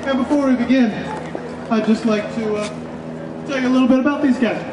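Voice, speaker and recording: A woman's voice: a little high, brisk. A woman giving a prepared introduction of guest speakers at a live event. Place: public festival event before an audience. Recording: echoey, distant, noisy live event recording.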